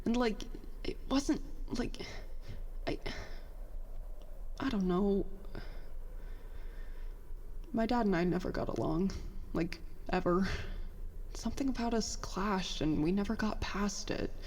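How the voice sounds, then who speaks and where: An actress playing a bitter, self-doubting young petty officer in a halting, confessional monologue for a science fiction audio drama. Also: female voice, mid-range voice, a little mellow, long reverb tail, a little hiss or hum. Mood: frustrated, wistful. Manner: halting, conversational, self-deprecating, mildly profane.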